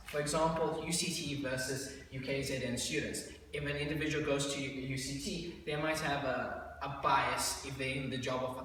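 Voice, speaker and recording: A man's voice: medium pitch, a bit bright, a little hiss or hum. A young man presenting in a dim lecture room, explaining a diagram and pointing at the slides. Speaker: student presenter. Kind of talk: class presentation. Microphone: far room mic. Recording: echoey room from far off.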